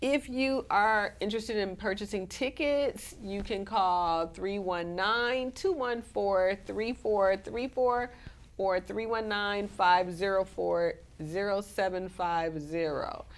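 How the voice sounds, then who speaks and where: A woman with a cold and a scratchy voice, chatting as a guest on a live community TV show, halting now and then with fillers. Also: medium pitch. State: hoarse from a cold. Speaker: guest, community organization president. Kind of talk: casual live TV interview.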